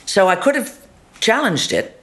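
A woman actor being interviewed about her role, talking animatedly, jumping between loud asides and soft murmurs, often ending with tag questions. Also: medium pitch, very animated, unhurried. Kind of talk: conversational interview.

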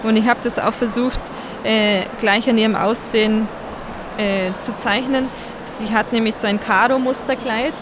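A young woman from El Salvador speaking, answering interview questions about her illustrating in a soft, hesitant way. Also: on the high side, fairly even intonation, moderate pace. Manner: soft-spoken, hesitant with fillers. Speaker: book illustrator guest. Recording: street noise behind.

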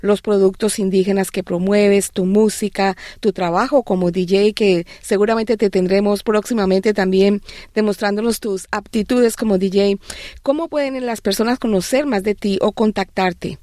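A woman's voice: mid-range voice, fairly even intonation, normal speed, warm tone, dry recording, clean studio sound. A woman hosting an interview, asking questions politely and encouraging her guest with short affirmations. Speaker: interviewer, host. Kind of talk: radio interview.

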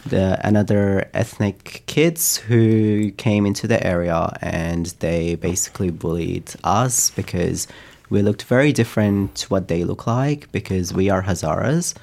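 A young man from Afghanistan, the interview guest, telling his story in a relaxed, reflective way with many fillers. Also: deep voice, unhurried, creaky. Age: young adult. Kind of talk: casual conversational storytelling.

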